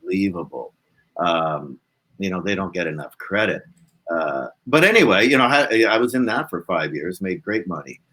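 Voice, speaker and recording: A man's voice: deep voice, animated, moderate pace, pushed and bright, husky, rough recording. A man, chatting informally as an interview guest and rambling through his stories. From Canada. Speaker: guest, blues musician. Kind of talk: casual interview chat.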